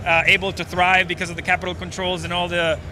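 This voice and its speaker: High-voiced man from Venezuela explaining. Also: monotone, quick pace, noisy background. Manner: fluent, explanatory, many fillers. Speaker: startup co-founder. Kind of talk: casual interview. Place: outdoors at a busy conference.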